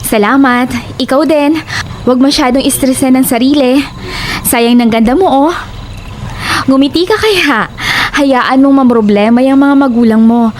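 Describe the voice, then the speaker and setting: A woman playing a chatty, blunt young best friend in an audio drama, teasing and sharp-tongued. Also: high voice, unhurried, long pauses, a little hiss or hum. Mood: breezy, caring.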